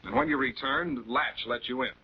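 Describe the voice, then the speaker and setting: Methodical prosecutor, accusatory and deliberate, slightly husky, vintage crime drama, dull film soundtrack. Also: male voice, medium pitch, wildly swooping pitch, full character voice. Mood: firm questioning. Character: composed, methodical male prosecutor confronting suspects. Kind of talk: 1940s television crime drama acting.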